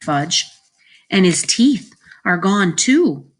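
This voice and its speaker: A woman's voice: lowish voice, big pitch swings, extremely slow, heavily acted. A woman voicing a blunt, urgent schoolboy friend in a children's story.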